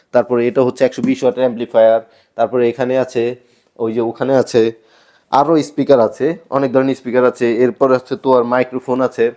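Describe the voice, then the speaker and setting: A man's voice: mid-range voice, mellow. Bangladeshi man presenting products. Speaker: shop presenter selling sound equipment. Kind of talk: informal product showcase to viewers.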